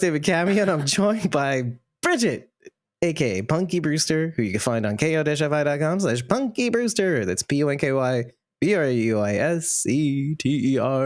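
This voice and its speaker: A man's voice: mid-range voice, dry recording, studio quality. A man hosting a podcast in casual chat, playful and talkative with self-deprecating asides. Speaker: podcast host.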